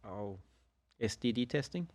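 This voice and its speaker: Man, middle-aged, gravelly, measured, dry humor, dead-dry room. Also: mid-range voice, lively intonation, a little mellow, no echo. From Turkey. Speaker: guest, insurance agent. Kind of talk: casual podcast conversation.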